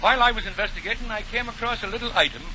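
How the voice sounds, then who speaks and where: A man's voice: high-pitched, racing, pushed and bright. An actor playing a jovial, shrewd male police detective given to teasing banter, in 1940s radio comedy style. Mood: self-assured. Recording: muffled, noisy 1940 radio broadcast.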